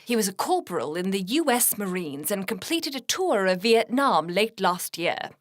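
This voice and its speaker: A woman's voice: mid-range voice, big pitch swings, no room sound, clean studio sound, natural voice. A woman playing a composed, formal 1960s radio news anchor in a scripted drama. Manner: measured, formal newsreading.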